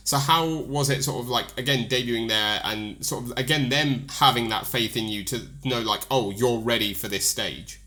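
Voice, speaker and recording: A man's voice: medium pitch, sharp tone. A man from the UK hosting an interview, rambling through questions with lots of "like" and easy laughs. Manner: rambling, filler-heavy, friendly, laughs easily. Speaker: podcast interviewer. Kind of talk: casual interview chat.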